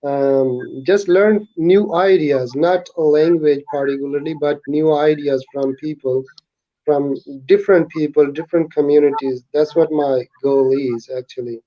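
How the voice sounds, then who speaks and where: A man's voice: a little high, steady tone, unhurried. Hesitant man on dull laptop audio. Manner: hesitant, many fillers. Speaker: new participant. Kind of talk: casual chat. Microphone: laptop mic on a video call.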